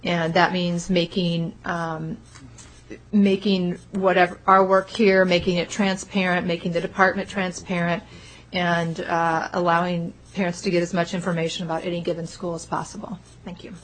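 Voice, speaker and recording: A female state legislator introducing herself at a committee meeting, speaking loudly and projecting. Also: on the low side, little pitch movement, normal speed, a little hiss or hum, low-quality recording. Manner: loud, projecting voice.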